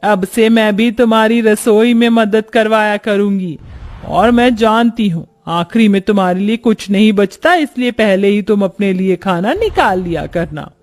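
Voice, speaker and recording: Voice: mid-range voice, moderate pace, faint music behind, lightly acted. A man voicing a middle-aged mother-in-law in a family cartoon, bossy but caring, giving familiar instructions.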